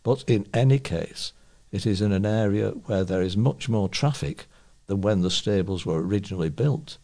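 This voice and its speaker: Male volunteer news reader, fairly deep voice with noticeable fry, reading scripted local press items. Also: normal speed, mellow.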